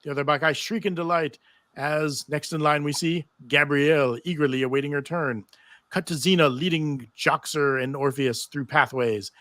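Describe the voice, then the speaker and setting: A man's voice: on the high side, fairly even intonation, moderate pace, fairly bright tone, no room sound. A man narrating stage directions in a live table read of a TV script.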